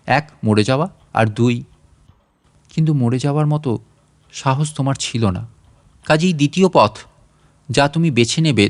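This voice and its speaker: A man reading a literary story aloud as a narrator, in an expressive, reflective and measured first-person delivery. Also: medium pitch.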